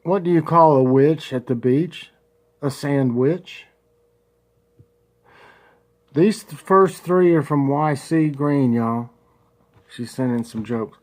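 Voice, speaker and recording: A man, a congested vlogger chatting folksily and slowly reading a devotional aloud. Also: a little high, a little mellow. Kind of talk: casual home vlog with prayer and devotional reading.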